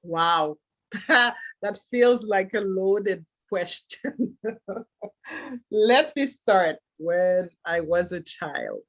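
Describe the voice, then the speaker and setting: A middle-aged woman from Haiti whose first language is French, telling her life story as a podcast guest in a thoughtful way, with soft laughs between thoughts. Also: mid-range voice, lively intonation, very slow. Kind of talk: reflective personal storytelling.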